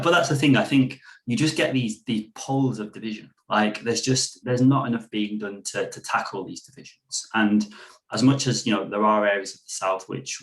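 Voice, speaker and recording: A man's voice: fairly low pitch, a bit bright, low-quality recording. A young man, co-hosting a casual political discussion in an earnest, rambling way full of "you know". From Manchester, England. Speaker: podcast co-host.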